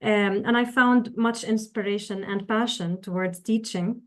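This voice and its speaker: This is a woman in her thirties, from Syria and raised in the Gulf, answering interview questions. Her pitch sits in an ordinary middle range. She speaks earnestly and with care, and she often stops to gather her thoughts. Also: fairly even intonation. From Syria, raised in the UAE. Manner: measured and earnest, with many pauses as she explains. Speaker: guest, engineering professor.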